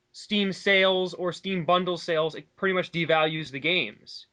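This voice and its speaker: Bright, higher-pitched man arguing casually. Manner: emphatic, argumentative, talks at length. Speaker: online commentary co-host. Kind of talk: casual opinionated discussion.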